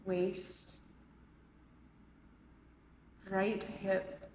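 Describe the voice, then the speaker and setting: A woman leading a guided yoga nidra meditation as an instructor, speaking calmly and in measured phrases with long pauses. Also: mid-range voice. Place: indoor urban yoga studio, recorded live. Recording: roomy echo, faint background noise, live studio. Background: faint ambient room and city noise.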